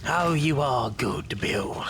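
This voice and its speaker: A man playing an oily, falsely affectionate leader of child thieves in an audio drama, wheedling and breathy, often half-whispering. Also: mid-range voice, animated, slow, a bit bright, slight background noise. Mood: oily, coaxing.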